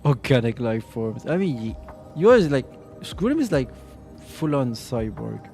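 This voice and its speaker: A man's voice: mid-range voice, big pitch swings, unhurried, darkish tone, soft background music. A young man streaming a video game, rambling casually and reading game text aloud with jokes. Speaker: vtuber streamer.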